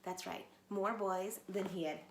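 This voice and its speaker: A woman teacher reading a storybook aloud to children, animated and talking to them directly. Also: mid-range voice, unhurried. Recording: roomy echo, faint background noise.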